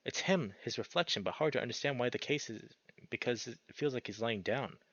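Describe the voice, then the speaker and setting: A man's voice: medium pitch, brisk, a bit bright, no echo, muffled recording. A man reading a visual novel aloud as a streamer, mixing narration with casual, sweary commentary.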